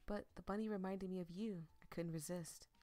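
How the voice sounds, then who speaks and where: A woman's voice: lowish voice, fairly even intonation, fairly quick, mellow, dry recording, close to an everyday voice. A woman voicing a teasing, affectionate, cocky young man in a visual novel game, with casual playful banter. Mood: warm, teasing.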